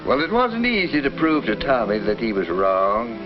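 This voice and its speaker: An actor playing a kindly, folksy family doctor who explains and reassures in a 1950s educational film. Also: male voice, mid-range voice, huge pitch swings, normal speed. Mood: explanatory, reassuring. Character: kindly small-town family doctor recounting cases, reassuring. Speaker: narrator and doctor. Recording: dull old film soundtrack with music.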